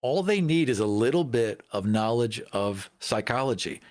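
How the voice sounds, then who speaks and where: A man's voice: medium pitch, animated, moderate pace, a little mellow. A man talking casually as a panelist in a discussion about tabletop gaming.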